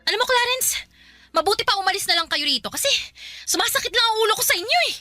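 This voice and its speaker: A woman's voice: very high-pitched, lively intonation, fast, sharp tone, soft background music, heavily acted. A woman playing an exasperated, scolding older sister in a Filipino radio drama, indignant in her delivery. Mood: fed up.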